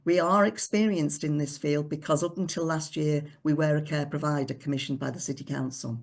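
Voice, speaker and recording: A woman's voice: low-pitched, steady tone, brisk, vocal fry. A woman presenting her charity's support services at an online forum, steadily listing what they offer.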